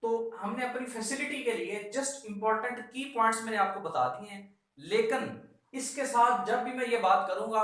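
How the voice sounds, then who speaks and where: A man's voice: sits high, a bit bright. A man with a Pakistani accent giving an instructional law lecture, emphatic, repeating key instructions and mixing in English terms. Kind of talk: instructional lecture for exam students. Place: echoey room with a whiteboard. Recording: very echoey room, distant mic.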